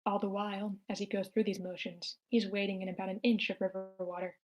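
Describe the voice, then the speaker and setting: Medium-pitched woman narrating a script. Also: little pitch movement, fast. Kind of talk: reading screenplay stage directions aloud.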